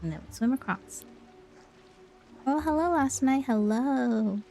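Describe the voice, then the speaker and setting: Female game streamer, mellow tone, slow pace, casual, thinking aloud, faint music behind. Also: high-pitched, animated, creaky. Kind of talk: casual gameplay commentary.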